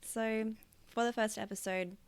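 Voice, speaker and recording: A woman's voice: on the high side, fairly even intonation, measured pace, smooth. An Australian woman in her thirties, co-hosting a casual podcast in a chatty way with frequent fillers and easy laughter. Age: 30s. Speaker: podcast co-host. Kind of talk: casual chat.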